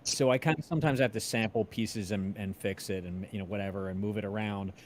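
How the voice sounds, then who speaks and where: A middle-aged or older man chatting casually, self-deprecating and halting as he talks. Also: lowish voice, a little hiss or hum. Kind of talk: casual chat.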